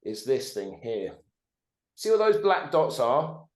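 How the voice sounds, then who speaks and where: A man in his forties talks as a podcast guest over a video call. He swears freely and stays animated. He keeps tagging his points with "right?". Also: medium pitch, slow. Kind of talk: casual conversation.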